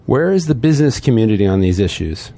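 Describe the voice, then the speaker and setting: A man's voice: on the low side, sing-song, fast, dark tone. A man playing a weary veteran city councilman in an audio drama, subdued and conceding. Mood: resigned, concerned.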